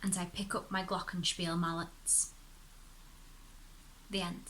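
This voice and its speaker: A woman reading a short story aloud as expressive narration, with dramatic, deliberate phrasing. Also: fairly low pitch, slight background noise, rough recording. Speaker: story reader.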